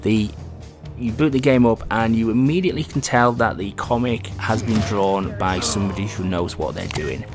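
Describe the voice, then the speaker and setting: Lowish-voiced man reviewing games. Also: moderate pace. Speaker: game reviewer and host. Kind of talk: casual video game review commentary. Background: music.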